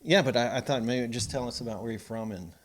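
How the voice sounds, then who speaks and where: A man's voice: mid-range voice, fast, a little hiss or hum. A man hosting a casual podcast interview, rambling through questions with many fillers and poking fun at himself. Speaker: podcast host.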